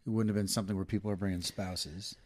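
A man's voice: deep voice, level tone, fast, a little mellow. A middle-aged man co-hosting a podcast, chatting casually, teasing and swearing freely. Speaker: podcast co-host. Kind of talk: casual banter.

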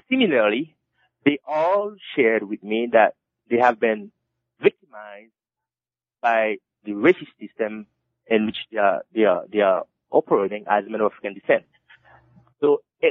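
A man, an author being interviewed, who answers haltingly and restarts his phrases again and again. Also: mid-range voice, dry recording. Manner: halting, with many repeated restarts. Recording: dull lo-fi dry recording.

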